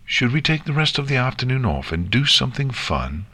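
A man's voice: mid-range voice, very animated, fairly quick, bright, forceful tone, husky, voice pushed up, exaggerated voice. A man voicing a kindly, encouraging adult female pony in a storybook reading. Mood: pleased.

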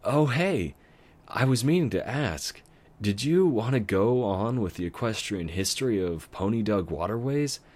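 A cheeky young male baby dragon, eager and boyish, talking in a lifted voice, as a man puts him on. He sounds keen and a little whiny, brighter than the storyteller. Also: lowish voice, lively intonation, measured pace, pitched above the performer's own voice. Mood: casual.

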